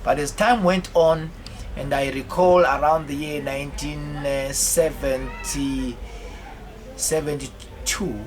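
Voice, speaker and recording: A South African man in his fifties telling his life story in a rambling way, halting often with fillers and repeated words. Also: on the high side, fairly even intonation, soft background music. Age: 50s. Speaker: autobiographical vlogger.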